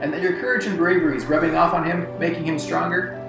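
A man narrates a scripted countdown video in a somewhat high-pitched voice. The recording has a clearly roomy echo, and music plays faintly behind his commentary. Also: steady tone, quick pace. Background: background music.

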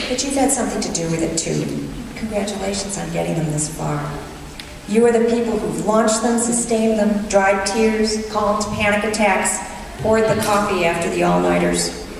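A middle-aged woman giving a formal commencement address in an earnest, measured way with touches of humor, speaking at a podium on an auditorium stage. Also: mid-range voice, vocal fry. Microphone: podium microphone heard from the hall. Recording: distant echoey hall recording with noise.

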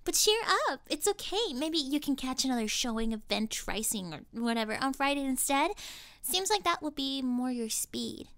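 High-pitched smug mean cheerleader girl played by a woman. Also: animated, moderate pace, fairly bright tone, no room sound. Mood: smug, mocking. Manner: condescending, giggly, saccharine pet names. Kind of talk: scripted ASMR roleplay acting.

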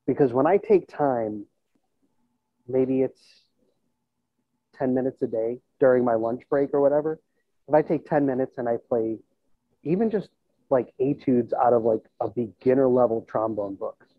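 A man's voice: mid-range voice, normal speed, long pauses, no echo. A man in his thirties, a musician and band teacher, talking reflectively in a casual interview and thinking aloud as he goes. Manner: conversational, thinking aloud, checks in with "you know" and "right?". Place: indoors in a plain room on a video call. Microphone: earbud headset. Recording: tinny, band-limited video call audio.

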